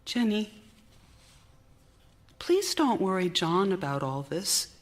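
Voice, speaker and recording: An actress playing an anxious, exhausted young wife and mother in period radio theater, halting and intense, dropping into hushed tones. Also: mid-range voice, big pitch swings. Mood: distressed, pleading. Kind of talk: period radio theater acting, monologue and dialogue. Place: theater stage, live performance.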